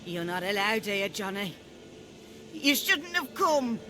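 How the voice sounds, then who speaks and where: A woman's voice: medium pitch, very animated, takes it slowly, noisy background. A woman playing a stern, protective old village grandmother in a fantasy video game, brooding and a little eerie. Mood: angry scolding. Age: older. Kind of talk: video game character acting.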